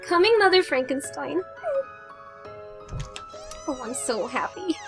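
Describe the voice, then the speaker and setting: A woman's voice: high voice, very animated, takes it slowly, fairly bright tone, faint music behind, exaggerated voice. A woman playing a devoted, tender young fiancee in a melodramatic old-time radio style. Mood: adoring.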